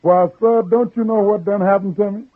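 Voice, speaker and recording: A man's voice: sits high, steady tone, brisk. An actor playing a humble, pious freed man from the swamp, with a folksy, deferential American Southern dialect, in an old radio drama. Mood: delighted. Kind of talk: 1950s radio drama acting. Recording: muffled old radio broadcast recording.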